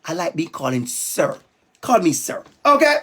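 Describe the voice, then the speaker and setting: A man's voice: on the high side, big pitch swings, moderate pace, bright, forceful tone, slightly rough. A man with a Jamaican accent, from Jamaica, giving a playful, emphatic talk to young students as a school guest speaker. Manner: playful, emphatic, calls out to the kids.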